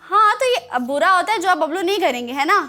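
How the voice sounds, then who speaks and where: A woman's voice: extremely high pitch, animated, fast talker, sharp tone, faint music behind. A woman hosting a children's show, telling stories in a teacherly way with frequent questions to her listeners. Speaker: children's show host and storyteller. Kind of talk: storytelling to children.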